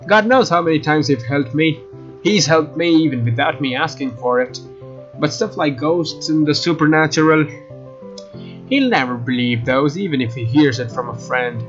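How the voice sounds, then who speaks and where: Higher-voiced man narrating, dull lo-fi sound. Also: expressive, moderate pace, a bit bright. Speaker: let's play host. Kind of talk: scripted narration read aloud from a visual novel. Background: guitar game music.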